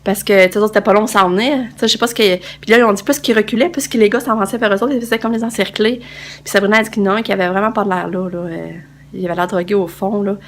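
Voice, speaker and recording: A woman's voice: medium pitch, steady tone, quick pace, a little hiss or hum. Tired Québécois woman vlogging. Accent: Québécois French. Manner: rambling, many fillers and restarts. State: congested with a cold, tired. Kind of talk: casual vlog chat to camera. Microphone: handheld phone camera.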